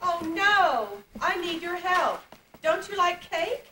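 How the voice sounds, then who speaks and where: A woman's voice: very high voice, lively intonation, slow, sharp tone, full character voice. A woman playing a cheerful, polite young girl princess in an amateur puppet show, earnest and coaxing, recorded on a camcorder. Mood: eager, coaxing. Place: indoor convention stage, distant camera. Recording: echoey, distant amateur camcorder, noisy.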